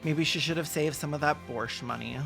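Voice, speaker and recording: A video essay host doing sarcastic comedic commentary with mock-serious, deadpan jokes. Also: mid-range voice, fairly quick, creaky, music in the background.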